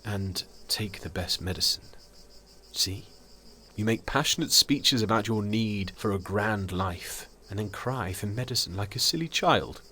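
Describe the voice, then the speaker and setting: An actor playing a cool, teasing, bluntly philosophical country doctor in an audio drama. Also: male voice, fairly low pitch, animated, measured pace, sharp tone. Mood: dismissive.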